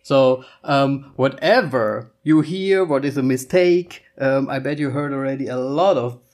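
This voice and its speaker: A man's voice: mid-range voice, a little slow, a little mellow. A man in his thirties with a German accent, co-hosting a casual couple podcast in English and now and then searching for words. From Austria, raised in Germany. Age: 30s. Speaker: podcast co-host.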